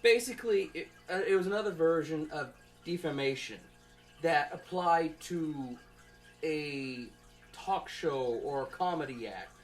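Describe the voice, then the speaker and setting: Halting, conversational young adult student presenter. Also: medium pitch, expressive, slight background noise. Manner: conversational, rambling, halting, thinking aloud. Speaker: college student presenting an assignment. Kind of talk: informal spoken class presentation.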